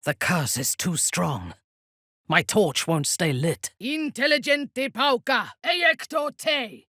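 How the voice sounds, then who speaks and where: A woman playing a haughty, ruthless drow warrior in a video game, with a husky, breathy voice and formal, imperious diction. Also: mid-range voice, very animated, slow, fairly bright tone, dry recording, clean studio sound, full character voice. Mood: commanding incantation. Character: haughty, ruthless female drow warrior, devout and coldly seductive. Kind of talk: video game character acting.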